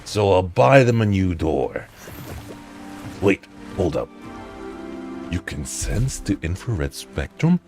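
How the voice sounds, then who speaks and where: A man's voice: low voice, sing-song, takes it slowly, pauses often, a little mellow, faint music behind. A man streaming a video game, casually commenting, joking to himself and singing or humming along. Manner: goofy, sings and hums along, talks to himself.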